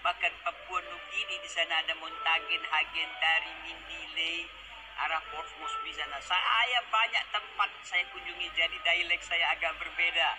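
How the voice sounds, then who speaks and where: A man's voice: fairly high pitch, steady tone, normal speed, rough recording. A man from Aceh speaking Indonesian with a mixed regional accent, giving a rambling religious testimony as a guest. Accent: mixed Indonesian regional, Medan and Malay touches. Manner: rambling, lists many places. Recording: music playing underneath.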